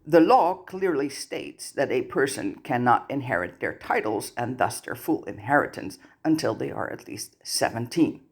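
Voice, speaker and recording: A narrator voicing a stern male goblin account manager in a storybook, formal and curt in a monotone manner. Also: medium pitch, normal speed, few pauses, breathy edge.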